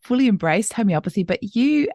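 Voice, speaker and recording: A woman's voice: on the high side, animated, a little fast, no room sound, clean studio sound. Afrikaans-speaking woman hosting a podcast. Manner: warm, laughs often, says "lovely" a lot. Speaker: podcast host. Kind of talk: casual interview chat.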